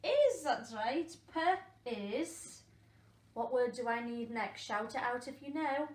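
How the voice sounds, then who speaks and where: Slow, high-voiced woman teaching young children. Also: expressive. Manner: encouraging, asks questions and pauses for answers. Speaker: primary school teacher. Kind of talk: interactive phonics lesson for young children. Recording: echoey room with faint background noise.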